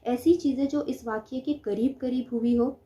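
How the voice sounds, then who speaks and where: A woman's voice: high-pitched, fairly even intonation, normal speed, warm tone. A woman lecturing in an explanatory way, posing questions and answering them herself, repeating key phrases. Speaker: law lecturer. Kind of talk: explanatory lecture with simple examples.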